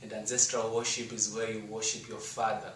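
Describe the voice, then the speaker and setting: A man's voice: on the low side, level tone, fairly bright tone. Echoey, distant Zimbabwean man giving a testimony. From rural Shurugwi, Zimbabwe. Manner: earnest, emphatic, deliberate rhetorical phrasing. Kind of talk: rehearsed testimony and appeal speech to an audience. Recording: roomy echo, distant mic, faint noise.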